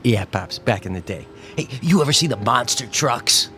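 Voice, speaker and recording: A man's voice: medium pitch, expressive, moderate pace, pushed and bright, faint music behind. An actor playing an easygoing worker in his twenties, slangy and teasing, in a radio drama. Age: 20s. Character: easygoing twenty-two-year-old male worker, cheeky and slangy.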